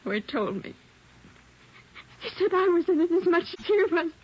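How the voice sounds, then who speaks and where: An actress playing a tired, frightened wife who speaks softly in a 1940s radio drama. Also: female voice, very high-pitched, big pitch swings, normal speed, noisy background. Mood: weary. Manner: soft, weary and subdued. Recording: dull old radio transcription.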